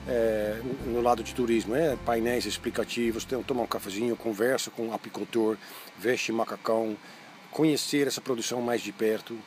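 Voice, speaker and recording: A middle-aged man gives an interview in a medium-pitched voice. He explains haltingly and searches for words, with faint music behind him. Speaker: seminar speaker being interviewed. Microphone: phone held by interviewer.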